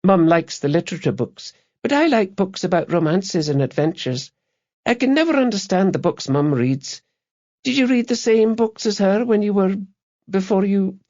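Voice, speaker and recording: Candid, curious schoolgirl daughter, played by a male narrator: high voice, girlish and chatty, slightly dull audiobook sound. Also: expressive, normal speed, a little mellow. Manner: girlish, informal, frank. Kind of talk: audiobook character dialogue.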